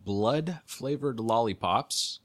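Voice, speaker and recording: A man's voice: mid-range voice, lively intonation, takes it slowly, a bit bright. A man acting as a podcast producer, casually reading out quiz questions and chatting with dry, wry remarks.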